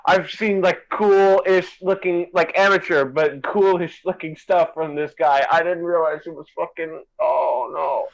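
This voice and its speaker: A man's voice: on the high side, steady tone, a little slow, gravelly, hardly any pauses, low-quality recording. A man co-commentating on a stream in casual reaction chat, incredulous and swearing.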